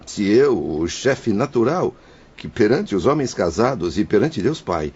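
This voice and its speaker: An actor playing the remorseful spirit of a husband and father who took his own life, confessing his guilt in a grave, husky voice. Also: lowish voice, sing-song, unhurried, a little mellow, muffled recording, exaggerated voice. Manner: contrite, solemn confession. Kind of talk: audio drama acting.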